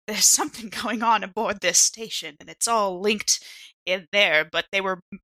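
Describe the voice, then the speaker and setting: A woman's voice: medium pitch, unhurried, sharp tone, no echo, poor audio. A woman playing an evasive, irritable space station snoop in an improvised tabletop game, dry and exasperated in manner. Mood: urgent, uneasy. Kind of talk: improvised in-character tabletop roleplay.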